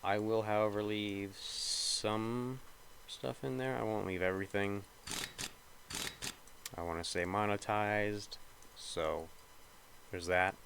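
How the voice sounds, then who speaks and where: A young man talks over his gameplay, rambling and thinking aloud with plenty of hesitation. His voice sits a little low and sounds slightly nasal. A faint background hum runs under the recording. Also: steady tone, slow pace, vocal fry, pauses often, a bit bright, low-quality recording. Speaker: gaming video creator. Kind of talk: casual gameplay commentary.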